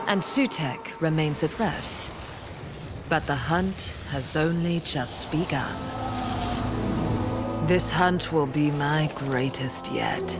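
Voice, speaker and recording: A woman voicing a vengeful ancient goddess who scorns humanity, speaking in a cold, measured, menacing game cutscene monologue. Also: low voice, heavily acted. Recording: loud music behind.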